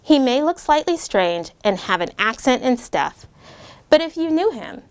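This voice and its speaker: A woman voicing a kind, earnest schoolgirl who sticks up for a friend, in a bright, eager child's voice. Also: high-pitched, big pitch swings, unhurried, clear, resonant tone, pitched above the performer's own voice.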